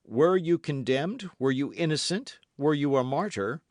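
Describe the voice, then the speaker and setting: A man's voice: a little high, lively intonation, no room sound, clean studio sound, pitched above the performer's own voice. A man playing a stern, devout young officer. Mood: grave. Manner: serious and measured.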